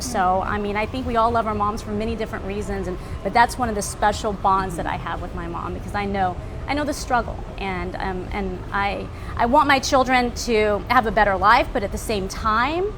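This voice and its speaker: A woman born in Vietnam, a news anchor, sharing personal stories in an emphatic, heartfelt, deliberate way. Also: mid-range voice. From born in Vietnam, left when very little. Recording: clear background noise.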